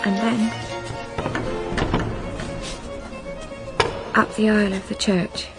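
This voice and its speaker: A wistful young bride, played by a woman, remembers her life in a radio play. Her voice has a noticeable creak and a reflective, measured flow, with soft music underneath. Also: mid-range voice, flat delivery, natural voice. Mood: quiet, remembering. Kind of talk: radio drama monologue.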